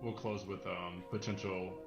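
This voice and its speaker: A man with a lowish, flat voice chats casually as a tutorial co-host. His sound is roomy and echoey, with music clearly audible behind him. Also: a little slow, highs cut off. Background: background music.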